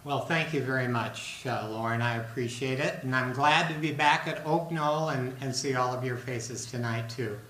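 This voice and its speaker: An older man giving a measured public lecture from a podium, with frequent fillers and restarts. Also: mid-range voice, pushed and bright. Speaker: guest lecturer. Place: large lecture room with a seated audience. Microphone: podium microphone, recorded by a far camera. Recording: roomy hall with faint noise.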